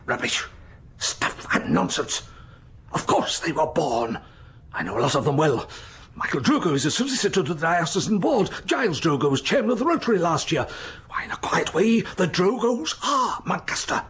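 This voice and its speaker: A man's voice: a little high, big pitch swings, moderate pace, fairly bright tone, husky, exaggerated voice. An actor playing a pompous, domineering senior English churchman in a radio drama, blustering and patronising. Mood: blustering, dismissive.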